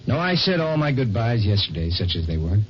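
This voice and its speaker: A man's voice: medium pitch, very animated, a little fast, lightly acted. An actor playing a dry, cynical, detached dying man in a 1950s radio drama. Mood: cynical, resigned. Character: curt, cynical terminally ill man, coolly detached. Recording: dull noisy 1950s radio recording.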